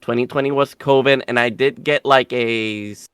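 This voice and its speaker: A man's voice: medium pitch, fairly even intonation, normal speed, a bit bright, clear, resonant tone, no echo, clean studio sound. A young man from Puerto Rico talking casually as an interview guest, rambling and self-deprecating, with the odd swear word. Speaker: streamer guest.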